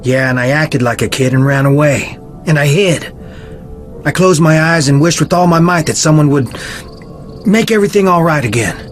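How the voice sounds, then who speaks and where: An actor performs a young man weighed down by regret in a mystery game. His voice sits a little high, earnest and hesitant, with faint music behind. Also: moderate pace, lightly acted. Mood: haunted, uneasy. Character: guilt-laden young man confessing, gentle and regretful. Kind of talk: video game voice acting.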